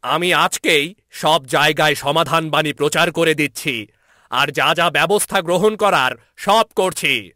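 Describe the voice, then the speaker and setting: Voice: mid-range voice, a little slow, sharp tone, no room sound, clean studio sound, pitched above the performer's own voice. A man playing an anxious, deferential royal minister in a fairy-tale cartoon. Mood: dutiful.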